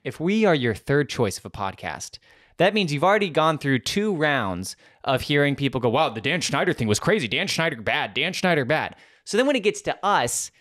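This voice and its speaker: A man's voice: medium pitch, fairly bright tone, dry recording. Man, podcast co-host, joking banter, dry close sound. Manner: joking, laughs often. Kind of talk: casual comedic chat.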